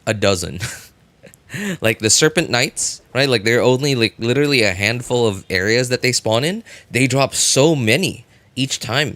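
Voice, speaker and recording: A man chatting casually as a game livestreamer, thinking aloud in a halting way and often repeating himself. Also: medium pitch, a bit bright, no room sound.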